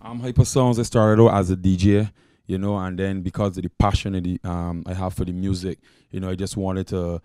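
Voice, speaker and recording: A Barbadian man speaks in a very deep, smooth voice. He stays flat and calm, explaining things steadily with plenty of "you know". The sound is dry and close. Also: brisk, dry recording. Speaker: guest artist and producer. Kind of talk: casual interview chat. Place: small studio with green lighting.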